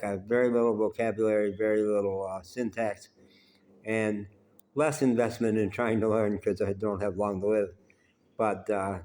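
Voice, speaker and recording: A man telling personal stories in an unhurried, conversational way, pausing often. He has a naturally loud voice. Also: mid-range voice. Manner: unhurried and conversational, with frequent "uh" pauses. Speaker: vlogger telling life stories. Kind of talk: rambling personal storytelling.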